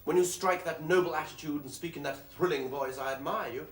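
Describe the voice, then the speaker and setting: A man playing a pragmatic, gallant army captain in a stage comedy, speaking in a wry, gently teasing, matter-of-fact way. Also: fairly high pitch, brisk, a little hiss or hum, close to an everyday voice. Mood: amused. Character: dry, pragmatic soldier captain, amused and gallant.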